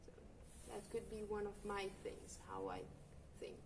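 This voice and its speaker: A woman's voice: mid-range voice, big pitch swings, normal speed. Woman in her 20s, volunteer coordinator, reflective interview, recorded from a distance. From Lithuania. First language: Lithuanian. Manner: fluent, thoughtful, non-native phrasing. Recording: distant mic with noticeable background noise.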